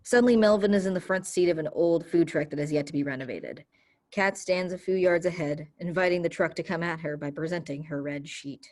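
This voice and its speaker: A woman's voice: on the low side, fairly quick, darkish tone. A woman reading stage directions as scripted narration for a play.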